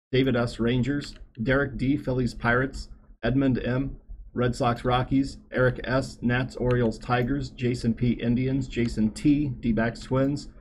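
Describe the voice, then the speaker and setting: A man in his thirties hosting a live card-breaking stream, reading names in a low running murmur, a little hoarse. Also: darkish tone, slight background noise. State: slightly hoarse. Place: small card shop studio.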